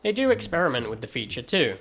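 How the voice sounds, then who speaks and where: A man's voice: medium pitch, very animated, quick pace, a bit bright. A man with a transatlantic accent narrating a scripted game review in a wry, dry-humoured manner.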